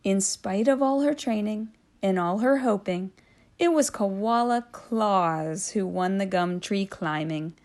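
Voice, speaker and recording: A woman reads a children's story aloud at a very unhurried pace, in a mid-range voice. She phrases deliberately and expressively, stretching words and shifting between hushes and swells for drama. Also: medium pitch. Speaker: storybook reader.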